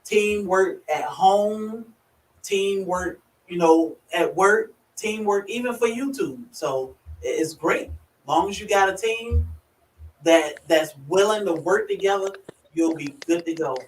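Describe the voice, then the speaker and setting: A woman with a rural Virginia accent hosting a casual livestream, chatty and quick to laugh as she greets viewers by name. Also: mid-range voice, animated, a little hiss or hum. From Virginia, USA. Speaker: livestream host. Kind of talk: casual live chat. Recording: echoey room, far from the mic.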